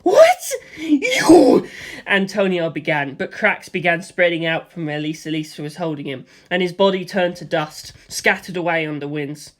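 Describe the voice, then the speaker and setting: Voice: medium pitch, steady tone, moderate pace, few pauses, fairly bright tone. A narrator telling a first-person action story, voicing gunfire and explosions aloud. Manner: vivid storytelling with spoken sound effects for gunfire and explosions.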